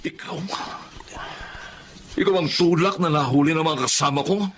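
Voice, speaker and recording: A man's voice: on the high side, moderate pace, heavy background noise, lightly acted. A man with a Filipino accent playing a stern, proud middle-aged policeman father in an emphatic radio drama style. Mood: angry, menacing. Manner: emphatic, repeats phrases in melodramatic delivery. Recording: radio drama with loud music bed. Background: loud dramatic music.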